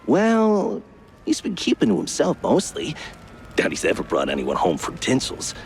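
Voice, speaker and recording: Animated, chummy mouse stagehand. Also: male voice, on the high side, moderate pace, vocal fry, a little hiss or hum, full character voice. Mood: confiding. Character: jumpy, chummy cartoon mouse theatre stage designer. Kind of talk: animated noir game acting.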